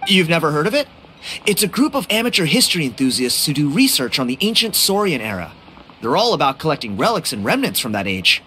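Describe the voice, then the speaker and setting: A man's voice: fairly high pitch, very animated, fast talker, pushed and bright, slight background noise. An actor playing an upbeat young tribesman in a game, chatty, casual and earnest. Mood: proud, enthusiastic. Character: upbeat young tribesman, eager amateur relic hunter. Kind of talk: English game voice acting. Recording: studio voice over game music.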